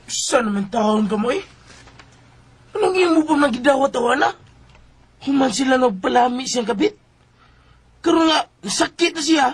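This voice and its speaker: A woman's voice: sits high, lively intonation, normal speed, pauses often, a little hiss or hum. A woman playing a bitter, defiant son in a Cebuano radio drama, resentful and unforgiving. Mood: bitter, angry. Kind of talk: Bisaya radio drama acting.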